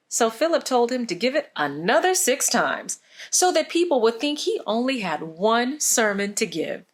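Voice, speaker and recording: A woman reading a storybook aloud for children, with expressive, deliberate phrasing. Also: fairly high pitch. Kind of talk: scripted storybook narration for children.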